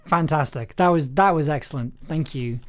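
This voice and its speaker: A man directing an audio drama, giving casual, encouraging feedback in a halting, repetitive way. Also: fairly high pitch. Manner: hesitant, encouraging, repeats phrases.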